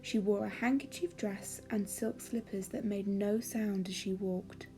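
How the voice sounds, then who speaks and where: A woman storyteller giving a calming, expressive, measured reading of a children's fairy story. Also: mid-range voice, music in the background.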